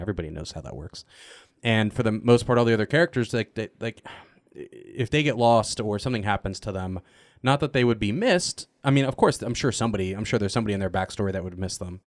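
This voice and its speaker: Male cast member, casual panel talk, slightly deep, blunt and wry, dry close sound. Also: no room sound.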